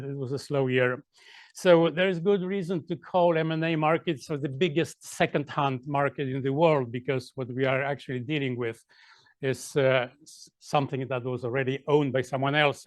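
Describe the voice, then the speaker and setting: A man, a lawyer giving a seminar talk, halting with many fillers and dry asides. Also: on the high side, no room sound.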